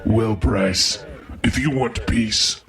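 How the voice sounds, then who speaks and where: A man's voice: on the low side, steady tone, drawn-out words, fairly bright tone, soft background music. A man playing a stern, menacing mentor turned villain, speaking with grave deliberation in an audio drama. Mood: cold menace.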